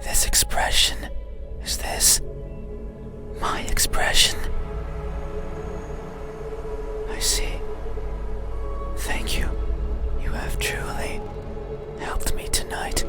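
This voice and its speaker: A man voicing an ageless, mysterious being in a horror visual novel, speaking a solemn, halting monologue in a breathy, voiceless whisper. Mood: eerie, pleading. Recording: loud eerie music over whisper. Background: loud scary music.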